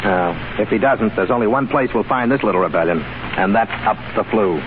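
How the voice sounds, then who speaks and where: An actor playing a sarcastic, wisecracking American sidekick in a 1930s radio drama. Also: male voice, fairly low pitch, a little fast, lightly acted. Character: sardonic American sidekick, man. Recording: tinny, hissy 1930s radio broadcast.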